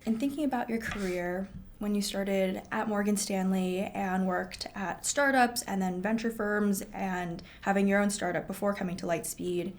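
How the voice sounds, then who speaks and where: A woman's voice: mid-range voice, a little hiss or hum. A woman hosting an interview podcast, affirming her guest often and asking questions in a casual, friendly way. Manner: warm, affirming, many mm-hmms. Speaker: podcast host.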